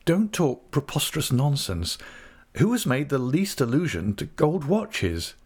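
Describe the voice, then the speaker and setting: A man playing an earnest young husband in an audiobook, gently coaxing and lecturing with grave reason. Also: medium pitch, big pitch swings, normal speed. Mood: gently remonstrating. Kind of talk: audiobook character voice.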